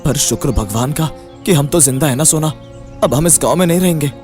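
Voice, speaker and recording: A man voicing a poor, anxious village husband, excitable and full of doubt. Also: a little high, brisk, close to an everyday voice. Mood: resigned. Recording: clear background music under voice.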